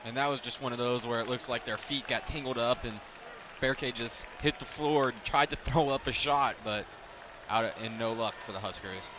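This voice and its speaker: A man's voice: medium pitch, normal speed, a crowd in the background. A man giving conversational color analysis on a live basketball broadcast, explaining loosely and repeating words as he goes. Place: courtside broadcast in a basketball arena. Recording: loud arena noise behind. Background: basketball game ambience, ball bounces.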